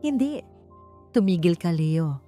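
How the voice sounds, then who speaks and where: A female storyteller plays a haughty, wealthy Filipino wife in a storybook drama. She is controlled and steely, with a dark, fairly low voice over light music. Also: unhurried. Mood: calm, steely. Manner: calm, steely, imperious. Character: cold, haughty, determined rich wife. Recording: faint music bed under the voice.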